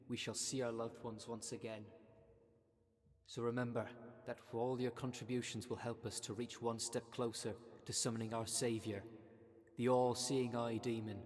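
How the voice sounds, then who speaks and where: A man playing a solemn, zealous cult leader in an audio roleplay, speaking with measured, grandiose earnestness. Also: medium pitch, darkish tone, lightly acted. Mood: fervent, solemn. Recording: long reverb of a large hall.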